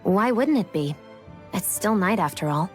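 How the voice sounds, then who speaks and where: A woman's voice: mid-range voice, lively intonation, normal speed, lightly acted. A woman playing a curt, pragmatic young outcast in a video game cutscene. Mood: brusque. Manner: curt, brusque. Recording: music behind.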